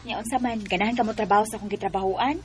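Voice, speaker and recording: A young Bisaya Filipino woman talks like a close friend. She is colloquial, practical and gently persuasive, in radio drama style. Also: medium pitch, fairly even intonation, very fast, husky. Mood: chatty.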